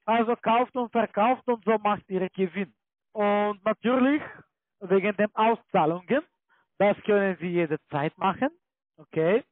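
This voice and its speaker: A man with a foreign accent, not a native German speaker, giving a repetitive scripted sales pitch as a call-centre agent, often checking that he is followed. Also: unhurried, dry recording. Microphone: telephone. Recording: muffled low-fidelity phone call.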